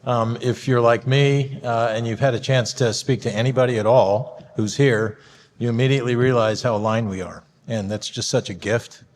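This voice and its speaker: A man's voice: medium pitch, fairly even intonation. Man moderating a panel in an echoing hall. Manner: halting, many fillers. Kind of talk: introducing panelists at a conference. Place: conference hall. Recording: reverberant hall.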